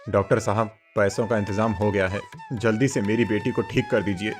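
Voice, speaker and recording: A man voicing a humble, caring farmer and father in a cartoon moral story, with a gentle, concerned manner. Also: on the low side, brisk, soft background music, lightly acted. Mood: worried.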